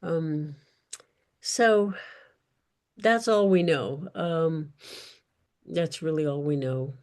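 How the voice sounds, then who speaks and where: Woman author answering questions, halting and careful. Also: sits low, a little mellow. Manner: careful, halting, searching for words. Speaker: author, guest speaker. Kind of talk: unscripted question-and-answer discussion. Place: virtual event.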